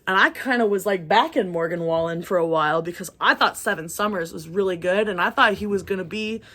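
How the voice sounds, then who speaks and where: A woman's voice: lowish voice, brisk, a bit bright. A woman from rural Texas co-hosting a casual album review, blunt and profane, laughing often. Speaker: music review co-host.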